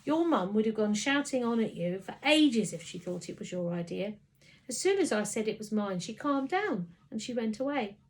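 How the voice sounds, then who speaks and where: A woman's voice: fairly high pitch, sing-song, moderate pace. A woman voicing a bold, insistent young girl who talks in a direct, matter-of-fact way.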